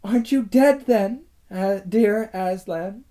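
A storybook narrator voicing a tender, anxious and excitable young girl. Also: medium pitch, slow pace, voice pushed up, close to an everyday voice. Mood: awed.

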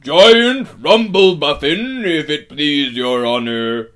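Man putting on a booming, good-natured rustic giant. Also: slow pace, a bit bright. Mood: eager, respectful. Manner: booming, rustic, old-fashioned turns of phrase. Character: honest, good-tempered, deferential giant, slow to wake.

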